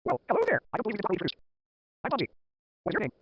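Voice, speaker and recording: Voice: medium pitch, wildly swooping pitch, racing. A performer voicing a friendly cartoon computer buddy with a chirpy, stilted way of giving a scripted greeting, in a very noisy capture.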